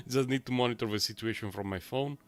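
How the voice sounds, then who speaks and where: A man livestreaming a game, talking in a rambling, chatty way full of fillers, his voice a little low and rough from a recent cold. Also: animated, moderate pace. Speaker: game streamer. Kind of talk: casual live-stream gameplay commentary.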